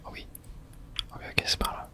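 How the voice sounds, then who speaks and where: A gamer gives ASMR let's play commentary entirely in a breathy whisper. The sound is bright and airy, with no real voicing. The delivery is informal, muttered reactions to the game with the odd mild swear word. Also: normal speed. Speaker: gamer streaming playthrough for viewers.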